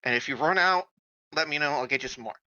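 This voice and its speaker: A man improvising in a tabletop roleplaying game, speaking haltingly and stammering over the starts of words. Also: a little high, muffled recording, crowd noise. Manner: halting, stammering, repeats first syllables.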